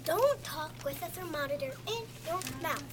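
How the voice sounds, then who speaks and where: A young reader playing a brisk, bossy but helpful female school nurse in a readers theatre, reading slowly. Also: high voice, expressive, a bit bright. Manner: slow child's reading aloud. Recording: noisy school room recording.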